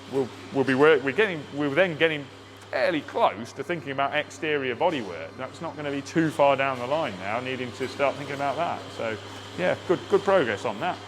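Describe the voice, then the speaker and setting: A man walks through a car build in a workshop, speaking at a middling pitch in plain technical terms. He often stops and restarts his sentences. A faint hum of workshop noise sits behind him. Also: fairly bright tone. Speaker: car fabricator giving a build update. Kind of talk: casual workshop walkaround.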